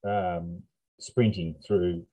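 Husky, muted-toned Australian man. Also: deep voice, level tone, very slow, warm tone. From New South Wales, Australia. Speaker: podcast guest. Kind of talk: casual chat, personal recollection.

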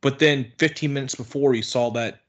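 A man at a mid pitch chats as a podcast guest. He is blunt and a bit exasperated and swears freely. He keeps it loose and conversational. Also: a little fast. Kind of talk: casual podcast chat.

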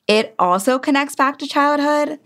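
A woman's voice: high voice, normal speed, fairly bright tone. A woman hosting a podcast introduces listener voicemails in a friendly, bantering way. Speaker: podcast host. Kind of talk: casual podcast chat.

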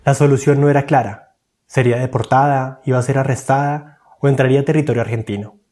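A man's voice: mid-range voice, fairly even intonation, fast talker, darkish tone. A man narrating a scripted documentary as its host, in a dramatic, literary storytelling manner.

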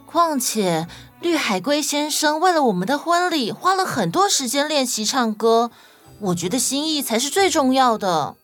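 一位女性在儿童动物故事里扮演温柔、真诚又重情义的年轻新娘。. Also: female voice, high-pitched, animated, normal speed, fairly bright tone. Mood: earnest, gentle. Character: sweet, loyal young bride in animal fable. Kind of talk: children's audio story acting. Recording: music behind the voice.

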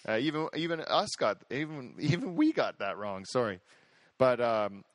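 This is a male podcast host with a middle-pitched voice, talking in a loose, rambling chat. He brags and gets excited, circling back on his own words. The sound is dry and close, with no room around it. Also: moderate pace, no room sound. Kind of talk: casual chat.

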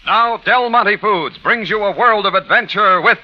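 High-pitched vintage male radio announcer. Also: normal speed. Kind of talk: 1950s sponsored radio announcing. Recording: muffled vintage radio, loud music.

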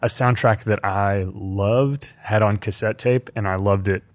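A man's voice: fairly low pitch, fairly even intonation, unhurried. A man of about forty, an enthusiastic podcast host talking about pop culture. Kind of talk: scripted narration and casual chat about pop culture.

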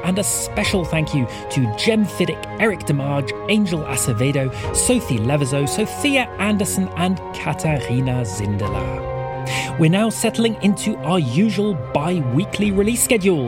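A man reading scripted podcast announcements and credits as the show's announcer. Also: fairly high pitch.

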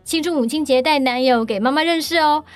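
A woman's voice: sits high, a little fast, dry recording, faint music behind. A young woman with a Taiwanese Mandarin accent hosting a podcast, bubbly and enthusiastic, laughing often. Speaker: podcast host. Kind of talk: casual podcast interview.

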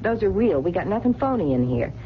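An actress playing a soft-hearted, wisecracking working-class woman who runs a late-night diner in a radio drama, with blunt colloquial talk. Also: medium pitch, sing-song, brisk, close to an everyday voice. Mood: breezy, advising. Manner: streetwise, colloquial, ungrammatical. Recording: dull, noisy vintage radio broadcast.

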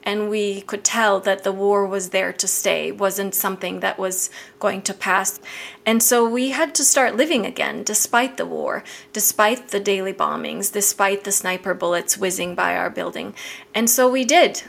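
A woman around forty, from Bosnia with Bosnian as her first language, recalling her past in a reflective, measured way with some restarts. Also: mid-range voice, gravelly, a bit bright. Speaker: interviewee. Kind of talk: personal recollection.

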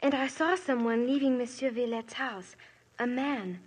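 A breathy, high-pitched schoolgirl, answers questions with polite eagerness. She speaks in the crisp, earnest style of 1950s radio drama acting. Her voice is airy and thin rather than clear. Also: moderate pace, rough recording. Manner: polite, keeps adding "monsieur". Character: polite cheeky schoolgirl witness.

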